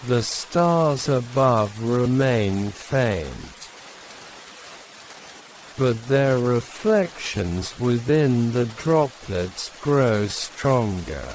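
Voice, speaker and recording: A man narrating a sleep story in a calm, hushed, soothing manner, leaving long pauses between short phrases. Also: mid-range voice, low-quality recording. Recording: steady rain and hiss behind voice. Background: rain.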